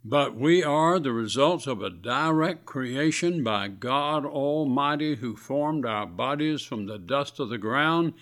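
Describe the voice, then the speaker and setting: A man's voice: fairly high pitch, fairly bright tone. Male radio preacher, scratchy voice, folksy and earnest. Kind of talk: radio sermon.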